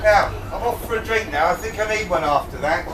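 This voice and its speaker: Man playing a high-voiced, jokey pantomime king, echoey hall. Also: brisk, natural voice. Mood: coaxing, playful. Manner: theatrical, coaxing, bantering with the crowd. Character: forgetful, jokey pantomime king fussing over his rose. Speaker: amateur pantomime actor. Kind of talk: live pantomime, addressing the audience. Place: indoor tavern stage with live audience. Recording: echoey hall from far off, audience noise.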